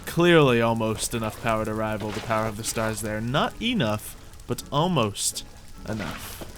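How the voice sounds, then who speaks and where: A man's voice: medium pitch, fairly bright tone, slight background noise. A man commentating on his gameplay in character, theatrical and mock-boastful, muttering to himself and repeating his phrases. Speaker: gaming video host.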